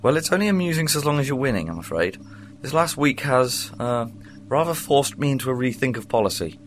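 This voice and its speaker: A man's voice: mid-range voice, expressive, fairly quick, slight background noise, close to an everyday voice. A man playing a weary, wry time-traveling Doctor with dry, understated humor. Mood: resigned. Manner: dry, gloomy, understated wit. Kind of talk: fan audio drama acting.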